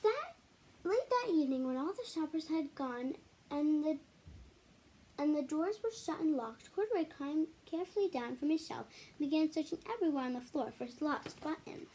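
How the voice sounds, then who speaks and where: Very high-pitched, animated woman reading aloud. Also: moderate pace, a little hiss or hum, low-quality recording. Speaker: story reader. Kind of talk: expressive children's picture book read-aloud.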